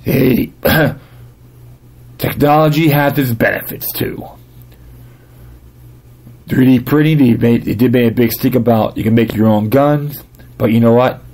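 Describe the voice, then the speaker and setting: A male podcast host with a mid-pitched, gravelly voice that sounds dry. He moves between reading articles aloud and commenting casually, halting and doubling back over words as he goes. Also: steady tone, darkish tone. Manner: halting reader, stumbling over words and repeating phrases.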